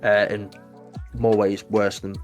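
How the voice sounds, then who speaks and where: A man, a podcast guest chatting casually, animated and emphatic, giving advice in short punchy bursts. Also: lowish voice, slow pace, over music. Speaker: podcast guest, Twitch mod.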